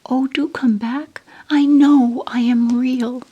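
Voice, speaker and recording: A woman's voice: high voice, fairly even intonation, slow, mellow, husky, pitched above the performer's own voice, heavily acted. A woman voicing a small, shy but proud male toy rabbit from a storybook in an insistent, plaintive whisper. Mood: pleading. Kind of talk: storybook character in ASMR reading.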